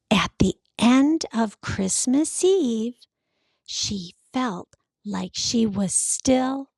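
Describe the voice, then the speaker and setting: Woman, children's story narration, high voice, very slow and careful, expressive, dry close sound. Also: creaky, no echo. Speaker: read-aloud storyteller. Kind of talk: children's picture book narration.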